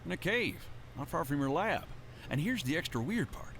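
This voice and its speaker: A spooked working-man game character, colloquial and ominous, pitch jumps around, some background noise. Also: big pitch swings, moderate pace, fairly bright tone. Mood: conspiratorial. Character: uneasy, superstitious male sea truck driver. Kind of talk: acted recorded dialogue in a video game.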